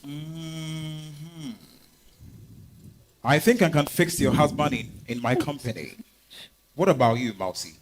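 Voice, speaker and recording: A man playing a wealthy, smooth, self-assured businessman in a staged reading, speaking in a deliberate, courteous, direct way. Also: on the high side, noisy background. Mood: probing.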